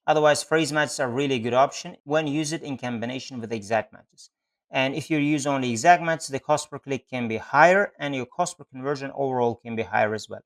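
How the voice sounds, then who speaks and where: Higher-pitched man giving a tutorial. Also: a bit bright. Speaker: Google Ads instructor. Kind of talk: screen-share tutorial narration.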